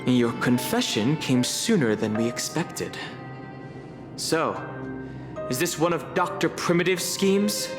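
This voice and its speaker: A man's voice: a little high, big pitch swings, takes it slowly, over music. An actor playing a serious young male investigator in a video game, composed and matter-of-fact as he explains. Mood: explaining, accusing.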